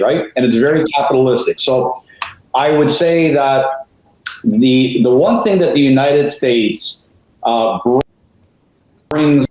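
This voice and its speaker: A man's voice: mid-range voice, a little mellow. Chatty man in a very echoey room. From Canada. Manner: talkative, many fillers, rhetorical questions. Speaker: co-host, real estate advisor. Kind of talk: conversational interview.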